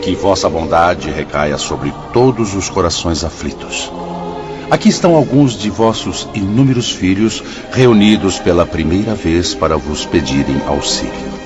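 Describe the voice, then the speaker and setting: A Brazilian man playing a solemn, benevolent spirit instructor in a radio drama, speaking as a formal, measured orator. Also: low-pitched, animated, highs cut off, over loud music. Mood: reverent.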